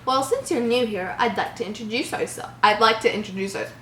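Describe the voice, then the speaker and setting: A woman's voice: fairly high pitch, fairly quick, pushed and bright. Casting: woman as cocky twelve-year-old bully boy, theatrical taunts, horror story reading, roomy sound. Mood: smug introduction. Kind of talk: dramatised creepypasta reading. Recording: roomy echo, faint background noise.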